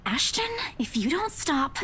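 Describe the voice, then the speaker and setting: An actress playing a frightened, stubborn young woman in a visual novel, with a slightly husky voice. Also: high-pitched, sing-song, measured pace, bright, forceful tone, exaggerated voice. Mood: annoyed.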